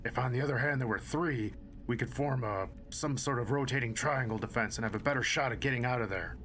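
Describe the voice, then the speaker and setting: A man acts as a respectful male soldier in an audio drama. His mid-pitched voice is earnest and cautious, reasoning things out, with faint noise in the background. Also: a little fast, a bit bright, lightly acted. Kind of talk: scripted audio drama acting.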